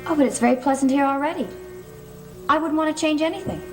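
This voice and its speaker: A woman's voice: high voice, fast, darkish tone, close to an everyday voice. An actress playing an otherworldly, self-possessed young woman in a gothic television soap, with a cool, enigmatic manner. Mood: aloof, evasive. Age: young adult. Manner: cool, enigmatic, declining politely. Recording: old TV soundtrack with organ music.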